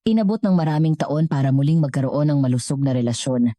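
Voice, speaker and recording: Low, mellow Filipino woman narrating a story. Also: animated, fast talker, no room sound.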